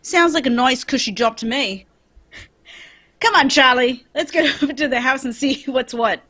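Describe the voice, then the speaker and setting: Woman playing a high-voiced, confident male detective. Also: normal speed, sharp tone. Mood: chummy. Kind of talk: old-time radio drama script read live.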